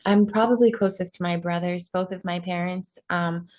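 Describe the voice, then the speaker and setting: A woman's voice: fairly low pitch, fairly even intonation, normal speed, darkish tone. A young woman from Maine telling her life story in an interview, candid, with many ums and likes. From Maine, USA. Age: 20s. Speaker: podcast guest. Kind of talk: personal interview talk.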